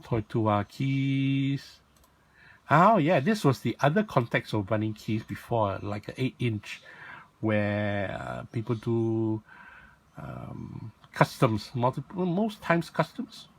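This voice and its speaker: Raspy rambling man. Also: mid-range voice, expressive, takes it slowly. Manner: rambling, self-correcting, lots of fillers. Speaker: toy blogger and vlog host. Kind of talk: casual rambling toy showcase.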